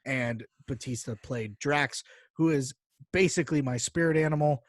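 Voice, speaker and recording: A man's voice: medium pitch, moderate pace, dry recording, clean studio sound. A man co-hosting a podcast in casual banter, joking and opinionated, with playful repetition. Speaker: podcast host.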